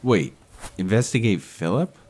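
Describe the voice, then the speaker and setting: An actor playing an edgy, resentful hotel worker in an audio drama, with a blunt, awkward, brooding way of talking. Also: male voice, fairly low pitch, animated, a little slow, darkish tone. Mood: wary.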